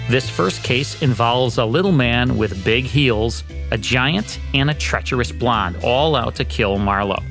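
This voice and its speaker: A man's voice: mid-range voice, measured pace, vocal fry, few pauses, fairly bright tone. A man hosting a program with scripted radio history narration. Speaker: program host. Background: faint music.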